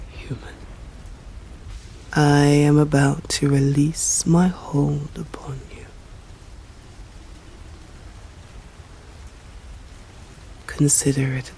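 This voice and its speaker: A woman's voice: extremely low pitch, a little hiss or hum, full character voice, rough recording. Woman whispering as an ancient stern vampire. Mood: threatening. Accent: English. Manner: slow hushed whispering, formal and commanding. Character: ancient stern female vampire, protective yet deadly. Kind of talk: ASMR voice roleplay.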